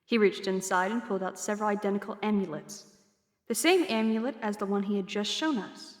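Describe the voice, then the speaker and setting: A woman's voice: mid-range voice, brisk, roomy sound, big-room sound. A woman giving scripted first-person narration for a fantasy story. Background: music.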